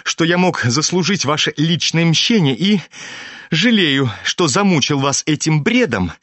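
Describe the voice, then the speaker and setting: A man voicing a trembling, bitter young man in an audiobook, imperious and agitated, with halting exclamations. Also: on the high side, big pitch swings, fairly bright tone, no room sound, voice pushed up.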